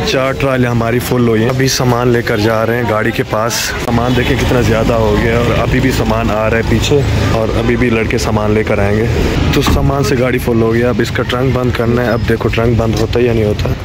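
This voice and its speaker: A man in his forties narrating a family vlog to a handheld phone camera, chatting his way through every step of the day. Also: mid-range voice. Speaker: family vlogger. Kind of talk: casual running vlog commentary. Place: in a car, at home and in a supermarket. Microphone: phone camera held at arm's length. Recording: noisy handheld phone audio.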